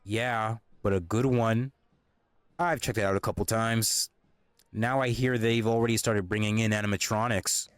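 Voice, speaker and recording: A man's voice: lowish voice, steady tone, normal speed, clear, resonant tone, no room sound, natural voice. A man playing a bored, pushy teenage boy in an audio drama. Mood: persuasive. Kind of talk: acted audio drama dialogue.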